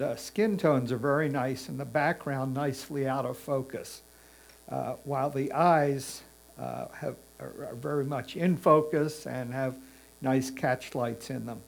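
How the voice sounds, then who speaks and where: Man, creaky gravelly voice, medium pitch, photo judge, hesitant mild critique, faint hum. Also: measured pace. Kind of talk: spoken image critique.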